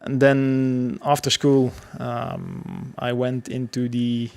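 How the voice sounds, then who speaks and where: Male podcast guest, thirties. Gravelly, mid-pitched, halting conversational storytelling. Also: wildly swooping pitch, a little mellow. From Belgium. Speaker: podcast guest, security professional. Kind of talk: casual interview storytelling.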